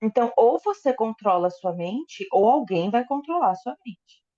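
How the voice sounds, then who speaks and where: A woman interprets a lecture sentence by sentence, filling gaps with hesitations and quick restarts. Her voice is dark and mellow, and the recording sounds somewhat dull and muffled. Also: a little high, animated. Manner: hesitant, with fillers and self-corrections. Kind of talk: consecutive interpreting into Portuguese.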